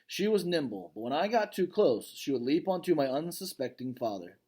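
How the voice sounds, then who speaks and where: Storybook silverback gorilla, read by a man: adult male, gentle, weary, reluctant, reflective, expressive pitch movement. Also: brisk, slightly rough.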